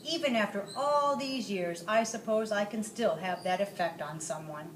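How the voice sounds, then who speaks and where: A woman's voice: medium pitch, moderate pace, lightly acted. A woman voicing a genteel, cultured male dragon in a children's storybook, chatty and flowery in manner. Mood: cheerful. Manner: chatty, flowery, self-satisfied. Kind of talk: children's storybook read-aloud. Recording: roomy, distant mic, faint noise.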